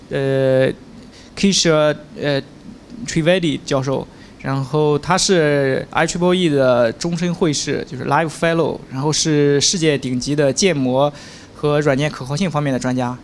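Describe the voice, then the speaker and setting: A man's voice: on the high side, a little hiss or hum. A young man as event host gives a hesitant, formal introduction of a guest speaker on a handheld microphone in a lecture room. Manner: hesitant, with filler sounds.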